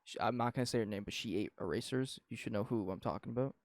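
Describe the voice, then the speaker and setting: A man's voice: on the low side, fast, no echo, studio quality. A nineteen-year-old man from Canada, co-hosting a podcast in casual, profane, rambling opinion chat. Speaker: podcast co-host.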